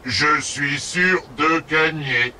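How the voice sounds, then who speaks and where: A man voicing a playful, boastful robot character in a children's cartoon, with a deliberate delivery. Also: a little high, heavy background noise.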